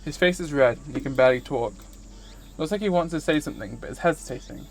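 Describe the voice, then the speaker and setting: A man's voice: a little high, fast, faint music behind. A man streaming a visual novel, reading its narration aloud. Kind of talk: scripted narration read aloud.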